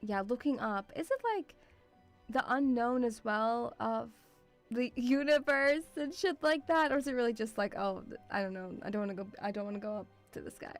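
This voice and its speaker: Woman streamer, high voice, mixed European accent with Scottish touches, rambling and excitable, casual swearing, light music behind. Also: moderate pace. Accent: mixed European with Scottish influence. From Lithuanian-Russian background, partly grew up in Scotland. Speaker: livestream host, VTuber. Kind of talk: casual livestream chat.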